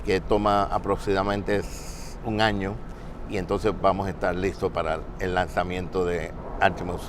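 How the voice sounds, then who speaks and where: A man, an engineer in a roundtable conversation, who speaks haltingly and repeats words. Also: low-pitched, little pitch movement, noisy background. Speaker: NASA engineer.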